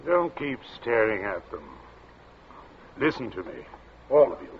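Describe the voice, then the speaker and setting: A man's voice: on the low side, sing-song, measured pace. An actor playing a snobbish, domineering middle-aged English father in a radio suspense play, commanding and scornful. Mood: tense, indignant. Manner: commanding, scornful, clipped outbursts. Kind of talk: 1940s radio suspense acting. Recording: dull old radio recording, faint noise.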